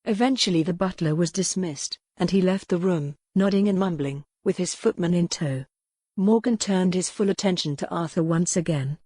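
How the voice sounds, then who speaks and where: A woman narrating a novel in an even, measured storytelling manner, recorded close to a studio mic. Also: lowish voice, breathy edge, no echo. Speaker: audiobook narrator.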